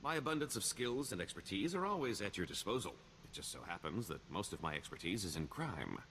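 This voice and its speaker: Wry, deep-voiced roguish schemer. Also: male voice, sing-song, brisk, fairly bright tone, slight background noise, full character voice. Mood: sly, persuasive. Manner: wry, wheedling, theatrical sarcasm. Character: roguish male career criminal, wry, boastful, scheming veteran. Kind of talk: video game dialogue.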